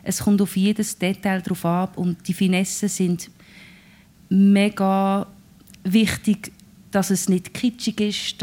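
A woman's voice: medium pitch, darkish tone. A singer-songwriter woman with a Swiss German accent, talking thoughtfully about her songwriting in an interview. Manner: thoughtful, searching for words. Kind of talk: casual radio interview talk.

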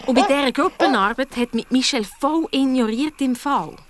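A woman's voice: high-pitched, moderate pace, a crowd in the background, close to an everyday voice. A woman playing a chatty, cheeky young girl detective in a children's radio play, with a Swiss German accent. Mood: indignant chatter. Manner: lively, colloquial, expressive.

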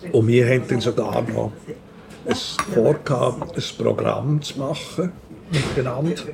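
An older man with a Swiss German accent, talking haltingly as he searches for words in an interview. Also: mid-range voice, creaky, noisy background. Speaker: writer being interviewed.

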